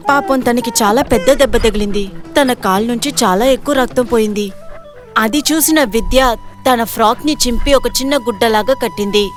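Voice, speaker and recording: A woman narrator reading a scripted moral story for an animated video. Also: a little high, a little fast, soft background music.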